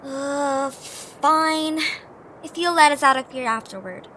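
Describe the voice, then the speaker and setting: A woman's voice: high-pitched, slow, fairly bright tone. A woman voicing a small, timid, earnest young adventurer in an audio drama. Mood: exasperated. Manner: hesitant, earnest. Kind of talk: cartoonish audio drama acting. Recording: faint background noise.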